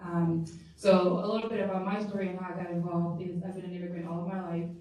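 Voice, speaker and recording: Flat, mellow woman from Rwanda. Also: lowish voice, fast. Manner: warm, conversational, with restarts. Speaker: community engagement manager, panelist. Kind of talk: panel introduction. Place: large room at a public forum. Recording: echoey room, distant mic.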